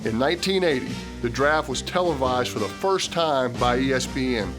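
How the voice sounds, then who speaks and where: A man's voice: medium pitch, animated, moderate pace, over music. A man delivering scripted narration as the host of a sports documentary.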